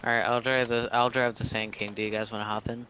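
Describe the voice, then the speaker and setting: A man's voice: mid-range voice, level tone, quick pace, pushed and bright. A man chatting casually as a player in an online roleplay game. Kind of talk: casual chat while roleplaying in a video game. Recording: noisy game voice chat, keyboard clatter.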